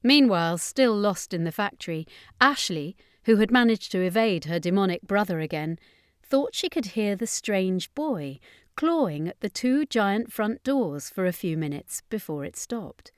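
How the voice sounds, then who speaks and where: A woman's voice: mid-range voice, animated, normal speed, clean tone, no room sound, studio quality. A woman reading a horror fantasy story as scripted audiobook narration.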